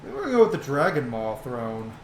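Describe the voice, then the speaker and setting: A man's voice: medium pitch, very animated, a little fast, darkish tone, slight background noise. Casual male gamer in an echoey room. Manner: informal, swearing, explanatory asides. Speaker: gamer commentating a playthrough. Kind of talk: casual game commentary.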